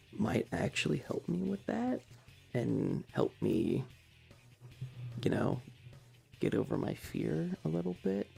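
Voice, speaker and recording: An ASMR stream host chatting softly and haltingly to viewers, sometimes in a whisper, close to the microphone. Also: medium pitch, gravelly, slightly rough. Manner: soft-spoken, halting, talking to chat. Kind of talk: casual ASMR stream chat, partly whispered.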